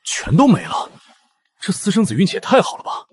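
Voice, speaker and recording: Man acting a fast, high-pitched, hot-headed associate. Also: sing-song, husky, exaggerated voice. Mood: sceptical, incredulous. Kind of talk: Chinese audio drama dialogue.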